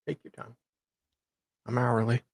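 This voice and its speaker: A man's voice: medium pitch, completely flat delivery, unhurried, gravelly, darkish tone, dry recording. A man co-hosting a show, reading legal filings dramatically with sardonic, mock-theatrical asides. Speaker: co-host doing a dramatic reading.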